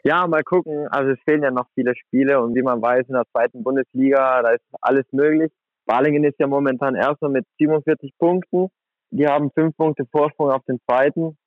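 Young male athlete guest, casual and chatty, mid pitch, heard over a thin phone line. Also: a little fast, dry recording. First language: German. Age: 20s. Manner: relaxed, chatty, many fillers like "sag ich mal". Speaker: guest handball player. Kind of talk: casual interview.

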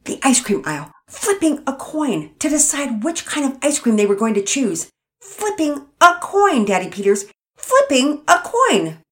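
A woman reading a storybook, voicing an irritable mother who talks loudly and huffily. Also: mid-range voice, lively intonation, moderate pace, voice pushed up, close to an everyday voice. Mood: irritated. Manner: loud, huffy, rising to tearful. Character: exasperated mother, quick to anger.

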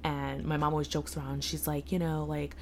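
A woman's voice: low voice, flat delivery, fairly quick, a little hiss or hum. A woman in her thirties chatting casually and telling a family story, full of fillers, restarts and quoted asides. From United States. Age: 30s. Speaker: guest. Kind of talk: casual conversation, personal storytelling.

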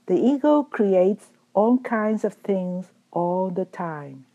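A woman teacher giving a calm, measured dharma talk, her voice still recovering after being lost. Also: mid-range voice, husky, rough recording. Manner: calm, measured, gently explanatory. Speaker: Buddhist teacher. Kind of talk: slow reflective dharma talk.

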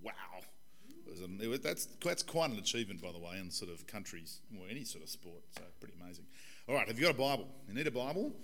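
A man in his forties, a pastor with a croaky, hoarse voice, giving a conversational and earnest sermon in a church. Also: on the low side, very animated, fast talker, fairly bright tone, a little hiss or hum. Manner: conversational, earnest preaching with fillers. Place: church hall.

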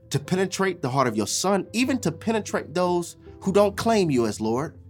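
A preacher delivering an impassioned sermon with deliberate repetition, swinging between loud exhortation and hushed, weighty phrases. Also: medium pitch.

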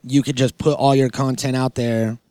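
A man with a soft-edged, mellow voice of average pitch chats on a podcast. He is informal and agreeable, recorded dry with hardly any room sound. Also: flat delivery, moderate pace, no room sound. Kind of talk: casual podcast chat.

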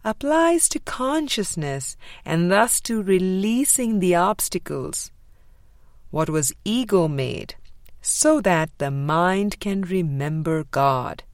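A woman narrating a spiritual audiobook in a measured, deliberate way with frequent pauses. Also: fairly low pitch, lively intonation, no room sound, studio quality. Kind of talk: scripted spiritual book reading.

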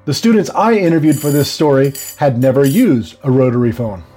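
A man's voice: medium pitch, fairly quick, mellow, faint music behind. A man narrating a scripted news story as a reporter.

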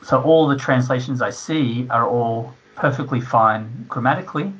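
A man working as a translator, explaining his work in a relaxed, casual interview. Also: medium pitch, moderate pace, breathy edge, lo-fi sound.

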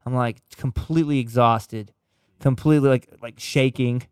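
A man's voice: medium pitch, fairly even intonation, normal speed, a little mellow, clear, resonant tone, no room sound. A young man chatting casually on a podcast, telling loose stories, laughing easily and swearing a lot. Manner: loose, laughing storyteller who swears often. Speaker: podcast co-host.

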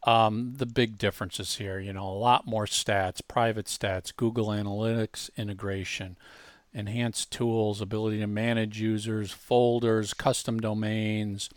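A man's voice: on the low side, level tone, dry recording, studio quality. A man hosting a podcast in a conversational demo style, emphatic and often restarting his words. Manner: emphatic, halting with repeated word starts. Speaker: podcast host.

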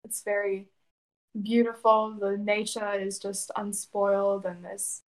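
A young woman from South Africa talks through her story in an even, level tone with little rise and fall. She answers questions plainly and steadily, now and then with a soft laugh. Her first language is Afrikaans. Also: mid-range voice, measured pace, rough recording. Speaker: interview guest. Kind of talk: conversational interview answers.

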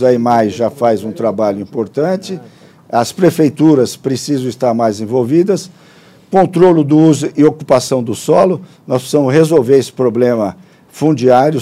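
A man with a Brazilian Portuguese accent giving a brisk formal presentation with hesitant restarts. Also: medium pitch, mellow. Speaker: conference speaker.

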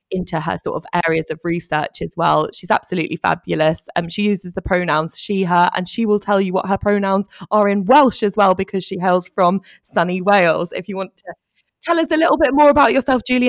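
A woman co-hosts a podcast, reading a guest's biography and then chatting with enthusiasm. Her voice is lowish and carries noticeable vocal fry. The sound is dry, with no room echo. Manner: enthusiastic, effusive praise. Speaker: podcast co-host. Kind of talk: reading a guest bio, then casual chat.

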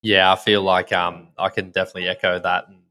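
A man hosting a podcast, asking questions in a relaxed, chatty way with plenty of fillers. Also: sits low, fast. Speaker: podcast host. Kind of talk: casual podcast interview.

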